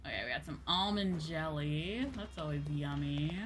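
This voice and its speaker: A woman's voice: low voice, normal speed, background noise. A woman doing casual, playful, sweary gameplay commentary as a streamer, often reading item names aloud, on a close microphone. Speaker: VTuber game streamer. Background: game music.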